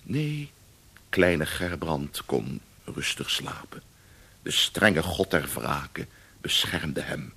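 An actor playing a wry storyteller, narrating a radio play expressively with savoured pauses and asides. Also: male voice, a bass voice, sing-song, vocal fry, pushed and bright, husky, heavily acted. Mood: wry, fond. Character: wry male storyteller of old Amsterdam.

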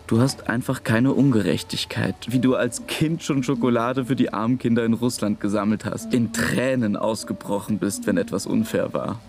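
An actor playing a grieving man in a German radio drama, speaking a wistful, halting inner monologue to a lost loved one. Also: mid-range voice, steady tone, darkish tone, soft background music, close to an everyday voice. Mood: reflective, pained.

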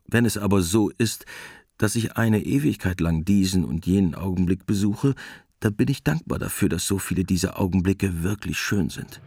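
A middle-aged actor playing a weary, wry war veteran, narrating a literary radio drama in a laconic, resigned way. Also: male voice, deep voice, normal speed, vocal fry, darkish tone, breathy edge, no room sound, full character voice.

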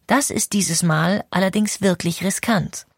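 A woman narrating a history documentary in a clear, composed storytelling manner. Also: medium pitch, unhurried, dry recording, studio quality.

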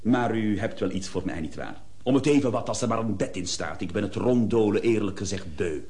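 An actor playing a weary but self-assured traveller in a radio play, insistent and wry. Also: male voice, fairly low pitch, expressive, a little fast, a little mellow, close to an everyday voice.

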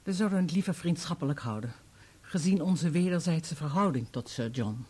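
A woman's voice: low-pitched, lively intonation, moderate pace, dark tone, slightly rough. An actress in a Dutch radio play playing a polite, guarded married woman with a reserved, hesitant way of talking.

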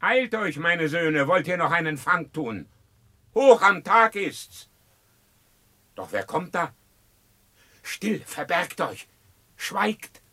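An actor playing a wary, grave old father in a classical radio play, measured and declamatory. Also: on the high side, very animated, sharp tone, no room sound, full character voice. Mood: alarmed, urgent.

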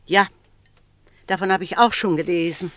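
An actress playing a gossipy, knowing newspaper seller in a German radio drama, chatty and insinuating. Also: female voice, on the low side, moderate pace, lightly acted. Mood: curious. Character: gossipy female newspaper seller, uneasy busybody.

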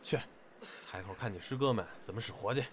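An actor playing a stern but caring senior apprentice in an audio drama, scolding and commanding. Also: male voice, on the low side, fast talker, background noise, natural voice. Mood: mock stern.

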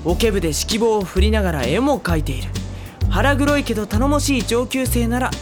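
A woman playing a polite high-school boy in an audio drama, level-headed and dryly exasperated, with deadpan retorts. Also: brisk, lightly acted. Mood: concerned, dry. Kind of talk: anime-style audio drama acting. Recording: studio drama with music underneath.

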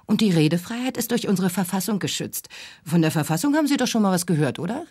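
An actress playing a combative, sarcastic radio talk show host in a German radio drama, self-assured and condescending. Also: female voice, mid-range voice, lively intonation, quick pace, heavy background noise, lightly acted. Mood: mocking, combative.

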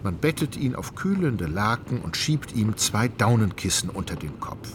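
A man reading scripted literary narration for a radio play in a measured, articulate storytelling manner. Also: lowish voice, very animated, background noise. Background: music.

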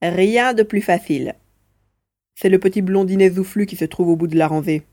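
A woman plays a small mouse-beaver mutant in an audio drama. He is playful and sure of himself, with a mellow tone in a dry recording. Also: lowish voice, moderate pace, no room sound, natural voice. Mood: smug amusement.

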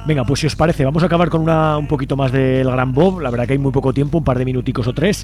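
Brisk Spanish man hosting radio. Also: medium pitch, steady tone, darkish tone. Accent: Spanish from Spain. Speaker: radio show host. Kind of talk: casual radio chat. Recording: faint music behind.

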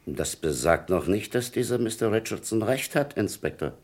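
An actor playing a cautious police superior in a German crime radio play, authoritative, brisk and sceptical. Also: male voice, fairly low pitch, expressive. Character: cautious male police superior giving orders.

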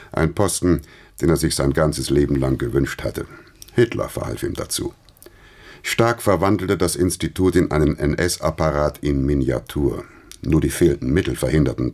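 A man with a husky voice giving sober, measured historical narration in a scripted radio play. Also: very deep voice, darkish tone.

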